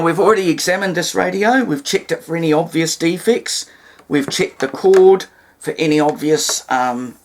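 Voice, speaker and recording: A man's voice: on the high side, a little hiss or hum. A man giving an informal, hands-on demonstration, explaining things step by step in a somewhat hesitant way. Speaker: hobbyist presenter demonstrating radio repair.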